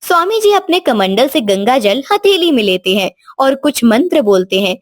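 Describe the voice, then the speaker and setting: A woman narrator with a high-pitched voice tells a scripted story. The sound is dry and close, with no room echo, in the style of storytelling narration. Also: sing-song, normal speed.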